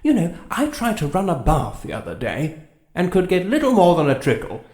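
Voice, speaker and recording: A man's voice: high-pitched, huge pitch swings, normal speed, a little mellow, roomy sound. An actor playing a vague, bumbling middle-aged king in a comedy audio drama, hesitant, stammering and rambling. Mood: flustered, indignant.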